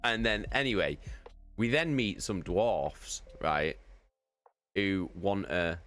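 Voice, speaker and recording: A man's voice: deep voice, animated, slow, a bit bright, soft background music. A man on a live stream animatedly telling a tabletop game story, swearing often and laughing mid-sentence. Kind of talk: casual anecdote on a live stream.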